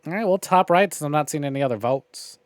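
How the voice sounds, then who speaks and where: A man's voice: a little high, lively intonation, fast talker, no echo, soft background music. A man giving casual, opinionated livestream commentary on a visual novel game, with wry, profane grumbling. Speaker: game streamer.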